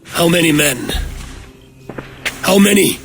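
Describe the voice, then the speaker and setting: Blunt Norse warrior for a game cinematic: fairly high male voice, steadfast, clear score behind. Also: takes it slowly, soft background music. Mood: terse. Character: steadfast, blunt young Viking warrior leader. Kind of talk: cinematic video game acting.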